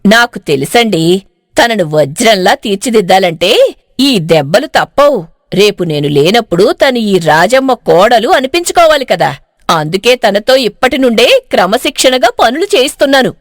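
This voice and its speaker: A proud, commanding village mother-in-law, acted by a woman storyteller, speaking in a high voice with lively swings. She is strict and matter-of-fact, with a caring purpose beneath it. The sound is close and dry, suited to a storybook tale. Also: normal speed, no echo. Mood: firm, explaining.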